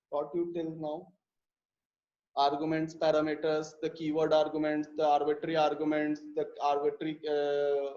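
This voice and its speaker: A man's voice: on the high side, mellow. A man teaching a live programming class, explaining step by step with frequent fillers and repeated words. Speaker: programming instructor. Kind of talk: live online coding lesson. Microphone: computer mic in a live class. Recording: dull lo-fi live class audio.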